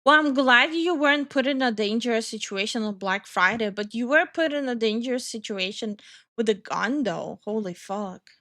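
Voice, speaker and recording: A woman's voice: fairly high pitch, moderate pace, sharp tone. A woman from Estonia hosting a casual live stream, ranting heatedly, swearing often, and greeting viewers in chat. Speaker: streamer and channel host.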